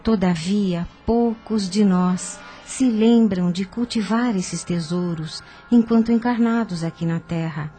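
An actress playing a wise, patient older aunt in a radio soap, giving solemn, measured spiritual counsel. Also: female voice, mid-range voice, mellow, lightly acted. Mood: solemn, consoling. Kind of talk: radio soap opera acting. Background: music.

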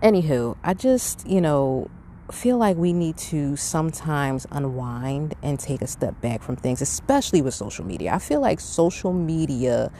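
A middle-aged podcast host speaks in a medium-pitched voice, thinking aloud in an earnest, casual monologue. The recording is made outdoors, with faint wind and ambient noise around the voice. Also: warm tone. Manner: conversational, earnest. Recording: windy outdoor background noise.

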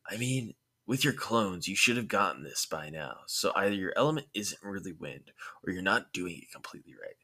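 A man voicing a lazy, analytical teenage ninja boy, matter-of-fact and unhurried, in a story reading. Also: low voice, expressive, sharp tone, heavily acted.

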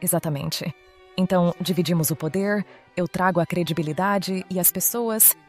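A woman with a Brazilian accent playing a pragmatic, persuasive teenage schoolgirl in audio drama, with measured delivery. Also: on the low side, a little mellow, soft background music, lightly acted.

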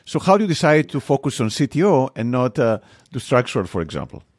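A man's voice: medium pitch, very animated, fast talker, no echo, clean studio sound. A man hosting a podcast, friendly and asking questions with hesitant restarts. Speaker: podcast host. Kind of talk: interview hosting.

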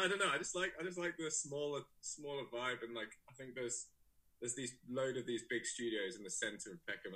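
A young man from England, raised in South Africa, talking casually and rambling through his story as an interview guest. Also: mid-range voice. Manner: relaxed, rambling, many fillers and restarts. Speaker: guest, photographer and director.

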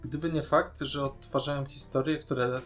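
A man with a mid-pitched voice talks casually as a podcast co-host, in an opinionated, critical way. Faint guitar music plays behind him. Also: fairly even intonation, normal speed, fairly bright tone.

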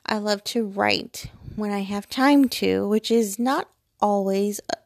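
A woman's voice: medium pitch, slow pace, no room sound, clean studio sound. An American woman in her forties chatting casually and digressively, with a self-deprecating, rambling manner. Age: 40s. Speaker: vlogger. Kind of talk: casual rambling personal chat. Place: bedroom at home, lying in bed.

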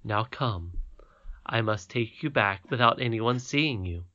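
A man reading aloud as a calm, wise and ancient great-great-grandmother in a storybook, speaking gently and steadily, in a dull lo-fi recording. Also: medium pitch, a little slow. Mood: tender.

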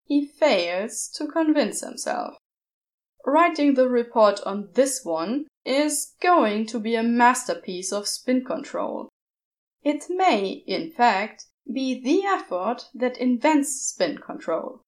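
A woman reading a story aloud as narrator, measured and steady. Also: on the high side. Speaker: podfic narrator.

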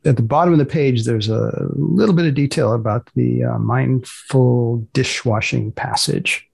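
A man hosting a podcast in a casual way, asking questions in a gravelly, husky voice. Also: medium pitch, normal speed, a little mellow. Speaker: podcast host and magazine editor.

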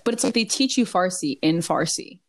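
A woman's voice: on the low side, expressive, a little fast. A young woman in casual chat, speaking emphatically and using "like" often.

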